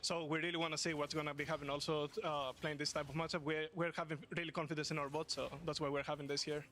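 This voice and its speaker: A man, a team coach, answering interview questions haltingly with many filler sounds. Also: on the high side, flat delivery, pushed and bright.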